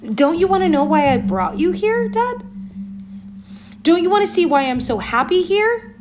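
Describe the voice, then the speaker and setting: A woman playing a serene young cult convert and lost daughter in an audio drama, measured and insistent. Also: very high-pitched, big pitch swings, background noise. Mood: blissful, persuasive. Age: young adult.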